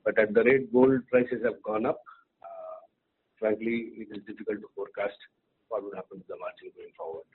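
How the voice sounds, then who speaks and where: Halting low-voiced man, noisy line. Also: fairly even intonation. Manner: halting, hesitant, careful delivery. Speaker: company executive. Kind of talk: answering questions on an earnings call. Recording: noisy, tinny phone line.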